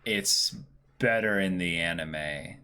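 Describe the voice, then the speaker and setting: A man's voice: sits low, slow pace, pushed and bright, slight background noise. A man co-hosting a livestream, chatting casually and reading out viewer comments in an agreeable, appreciative way. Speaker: co-host of a livestream reading audience messages.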